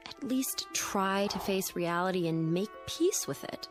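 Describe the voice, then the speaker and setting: A woman's voice: medium pitch, animated, a little slow, faint music behind, lightly acted. An actress in a radio drama playing a cool, educated, resigned woman, articulate and dryly disdainful. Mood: scornful.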